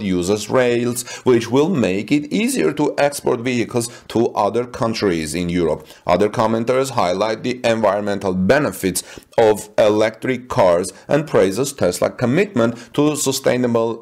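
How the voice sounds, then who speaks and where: A man with a somewhat low voice reads tech news as a voice-over. He breaks off into casual asides, stumbling over fillers and restarting his sentences. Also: darkish tone. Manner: halting, with frequent fillers and restarts. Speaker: tech news channel host. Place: voice-over over stock footage.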